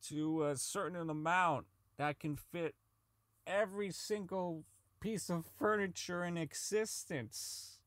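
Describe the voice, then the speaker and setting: A man's voice: sits high, fairly bright tone, dry recording, clean studio sound. A man playing a socially inept, bitter company owner in an audio drama, rambling and stammering through his log as he slides toward madness. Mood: nervous, frazzled. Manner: stammering, rambling, self-loathing, foul-mouthed.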